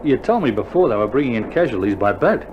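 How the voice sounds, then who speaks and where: An actor playing an eager, loyal wounded Australian soldier in a radio drama, talking in an earnest, colloquial way. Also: male voice, medium pitch, big pitch swings, fast, lo-fi sound, natural voice. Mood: earnest, admiring. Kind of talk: recorded radio drama acting. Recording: vintage vinyl recording, faint noise.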